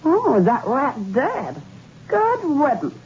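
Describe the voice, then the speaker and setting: An actress playing a tough, streetwise former maid in a 1960s radio drama, sarcastic and dismissive. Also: female voice, high voice, wildly swooping pitch, slow, darkish tone, exaggerated voice. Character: brassy, streetwise young woman, a former maid. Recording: dull, hissy old radio recording.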